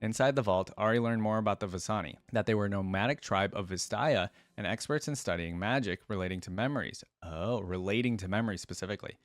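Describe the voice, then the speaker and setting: A man's voice: fairly low pitch, fast, few pauses, no room sound, clean studio sound. A man hosting a reaction video, reading aloud and adding casual off-the-cuff commentary. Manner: casual, interjects brief reactions while reading.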